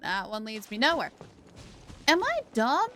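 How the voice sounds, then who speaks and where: A woman's voice: a little high, big pitch swings, measured pace, sharp tone, slight background noise. A woman streaming a game live, chatting to herself as she works out puzzles. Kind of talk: live gameplay commentary.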